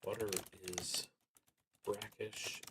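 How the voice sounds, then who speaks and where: A man thinking aloud through a solo tabletop roleplaying game, reading prompts and musing hesitantly with long, thoughtful pauses. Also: lowish voice, level tone, very noisy.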